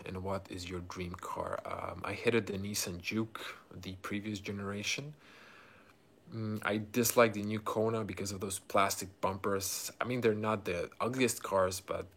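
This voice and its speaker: A man from Croatia with a Croatian accent, casually chatting to viewers as a car reviewer on a live stream, halting and thinking aloud. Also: low-pitched, a bit bright, slight background noise. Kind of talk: casual unscripted chat with viewers.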